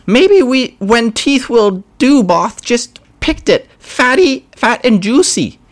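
A puny, cowardly goblin hustler, with a high, squeaky whine. He stammers and wheedles, haggling nervously with his boss. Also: sing-song, takes it slowly, heavily acted. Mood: wheedling. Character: small cowardly scheming male goblin grot.

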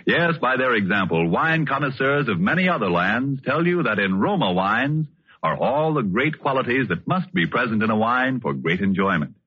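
American man, old radio commercial announcer, husky, emphatic, polished, dry studio sound. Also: medium pitch, sing-song, normal speed, dry recording, lo-fi sound. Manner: emphatic salesmanship. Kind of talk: 1940s radio advertisement, polished scripted delivery.

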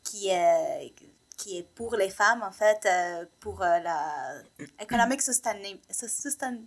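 A woman's voice: mid-range voice, lively intonation, takes it slowly. A woman whose first language is Spanish, a podcast guest who talks hesitantly, with many fillers and English words mixed in. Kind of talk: casual podcast chat.

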